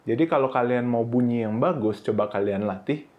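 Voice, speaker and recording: Man, language teacher, casual lesson, demonstrates sounds loudly and slowly, mid pitch. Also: phone-quality sound. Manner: demonstrates syllables in high, drawn-out, emphatic bursts; casual instructive talk with frequent "oke" and "nah". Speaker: Mandarin teacher. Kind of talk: pronunciation lesson video.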